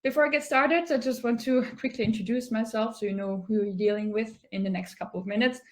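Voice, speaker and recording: A woman's voice: fairly high pitch, steady tone, quick pace. A woman from Austria with an Austrian accent, giving an online meetup presentation in a friendly way with frequent "um" fillers. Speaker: conference speaker. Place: home office, remote.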